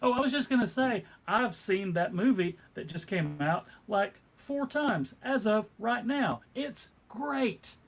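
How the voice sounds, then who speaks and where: A woman's voice: mid-range voice, expressive, unhurried, gravelly, few pauses. A woman chatting casually as a panelist, emphatic and enthusiastic. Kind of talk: casual chat.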